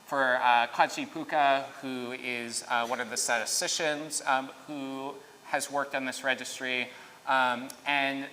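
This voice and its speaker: A man in his thirties presenting research at a conference, halting and full of fillers. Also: medium pitch, fairly even intonation, bright, forceful tone. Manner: halting, filler-heavy, restarts mid-thought. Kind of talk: conference talk with Q&A. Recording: echoey hall, faint noise.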